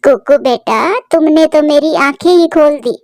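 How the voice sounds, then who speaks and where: Lady doing a sad old mama bird for a cartoon, high voice, tender n plaintive, super dry sound. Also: measured pace, a little mellow, no echo. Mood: hurt, tearful. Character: sorrowful elderly mother bird, self-sacrificing and tender.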